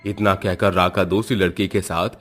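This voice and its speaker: A man's voice: low-pitched, little pitch movement, moderate pace. A man narrating a scripted horror moral story as a storyteller. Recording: music behind the voice.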